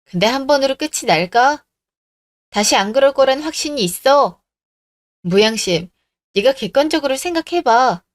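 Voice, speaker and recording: A woman's voice: high-pitched, animated, normal speed, long pauses, dry recording, studio quality. A woman playing an indignant, firm young woman in a radio drama, scolding bluntly. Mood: angry, firm. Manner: blunt, scolding, rhetorical questions.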